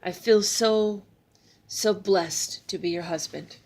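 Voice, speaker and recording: A woman's voice: medium pitch, slow pace, a little mellow. Woman as an earnest warrior god. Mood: tender. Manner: earnest, formal, affectionate.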